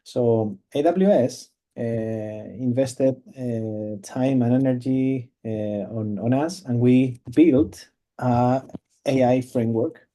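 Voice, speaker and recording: Man, webinar presenter, very dark mellow voice, halting, video call. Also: mid-range voice. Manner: halting, many fillers and restarts. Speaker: cloud company presenter. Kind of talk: webinar product demo.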